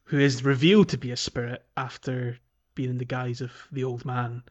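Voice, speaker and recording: A man co-hosting a podcast, chatting casually about a video game in a halting way, often restarting his sentences. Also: medium pitch, flat delivery, muffled recording. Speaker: podcast co-host.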